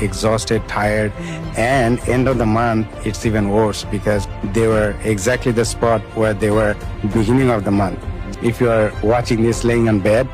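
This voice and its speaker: A man from Bangladesh giving a calm, reflective testimonial. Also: lowish voice. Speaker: online business owner giving a testimonial. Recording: background music.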